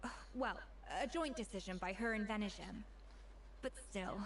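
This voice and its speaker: A woman voicing a proud, exacting young master chef in a video game, crisp and self-assured. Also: mid-range voice, moderate pace, slight background noise, natural voice. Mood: reflective. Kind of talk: video game character acting.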